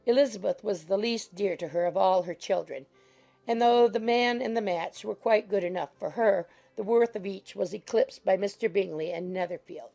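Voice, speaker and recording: A woman's voice: medium pitch, moderate pace, creaky, dark tone. A woman reading scripted literary narration as an audiobook narrator. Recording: faint music behind the voice.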